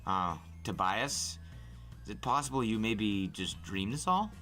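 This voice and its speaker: A man reads the part of a sleepy, skeptical teenage boy in a storybook. His voice sits fairly low, and he talks hesitantly and doubtfully. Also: lively intonation, measured pace, bright, forceful tone, soft background music.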